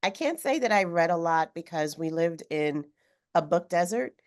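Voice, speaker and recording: A woman with a notably low voice speaks on a video call from her home. She is slightly hoarse from a cold and talks in a thoughtful, unhurried way with occasional fillers. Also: animated. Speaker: author guest. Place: home room with sewing machine. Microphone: video call webcam.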